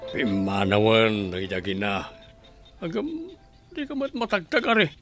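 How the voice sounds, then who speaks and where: A man playing a young husband working abroad in a radio drama, talking in a lively, teasing way. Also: fairly low pitch, measured pace, faint music behind. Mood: exasperated. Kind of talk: amateur radio drama acting.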